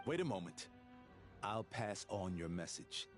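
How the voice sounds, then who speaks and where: Low-voiced, officious game guard. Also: male voice, very animated, a little slow, soft background music. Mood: dismissive, officious. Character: gruff male guard, officious, deferential to superiors. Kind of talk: video game voice acting.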